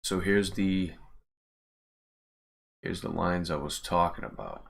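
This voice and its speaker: A man's voice: sits low, steady tone, slow pace, creaky. A man in casual livestream commentary, talking informally and swearing a bit as he mutters through his work. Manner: informal, sweary, muttering to himself while working. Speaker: game modder and streamer.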